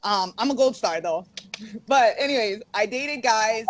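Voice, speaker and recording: A woman's voice: fairly high pitch, a little slow, a little hiss or hum, poor audio. A young woman from Hawaii, a guest in a casual interview, who laughs often and fills her talk with "like". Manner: laughs often, says "like" a lot.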